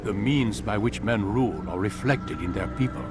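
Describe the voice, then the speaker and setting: An actor playing a calm, cryptic older male master who speaks in a measured, lecturing way. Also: fairly low pitch, loud music behind the voice, close to an everyday voice.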